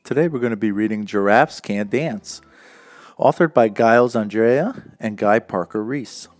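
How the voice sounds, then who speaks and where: A man's voice: lowish voice, a little slow. A man hosting a children's read-aloud, reading a rhyming picture book to young listeners. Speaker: host and children's story reader. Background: music.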